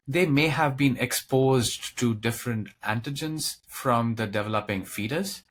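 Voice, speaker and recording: A man's voice: mid-range voice, a little hiss or hum. A man speaking as a physician guest in an interview, explaining medical matters in plain lay terms. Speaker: expert guest, transplant physician.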